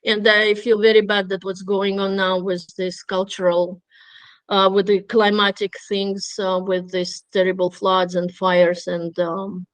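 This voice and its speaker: A woman's voice: medium pitch, little pitch movement, unhurried, dry recording, low-quality recording. Middle-aged woman, not an english speaker, lots of ums and restarts, dry close sound. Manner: hesitant, many fillers and restarts. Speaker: webinar speaker. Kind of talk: conversational webinar talk.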